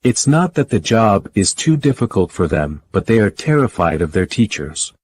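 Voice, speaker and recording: A man's voice: fairly low pitch, sing-song, normal speed, a little mellow, husky, no room sound. A man reading a scripted practice passage aloud as a model reader.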